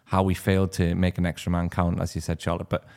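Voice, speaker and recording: Low-voiced man, stumbling emphatic football talk. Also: monotone, quick pace, vocal fry, darkish tone. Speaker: podcast panelist. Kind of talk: football podcast discussion.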